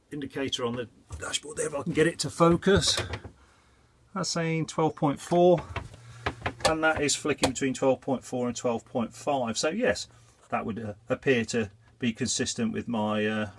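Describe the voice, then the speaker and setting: Congested man in a chatty boat vlog. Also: mid-range voice. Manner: chatty, explains step by step. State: congested with a cold. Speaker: vlogger. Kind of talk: casual DIY vlog. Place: on a narrowboat.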